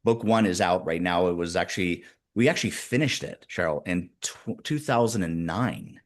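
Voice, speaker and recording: A man in his fifties with a fairly low voice tells his stories as an interview guest. His delivery is animated, speeding up and slowing down as he goes. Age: 50s. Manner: animated storyteller, speeds up and slows down. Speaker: guest, executive coach. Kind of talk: casual interview chat.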